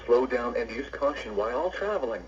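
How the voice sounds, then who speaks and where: A synthetic male announcer reading a scripted weather bulletin in a measured, impersonal way, heard through a radio speaker. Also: medium pitch, expressive, heavy background noise. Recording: dull lo-fi weather radio playback.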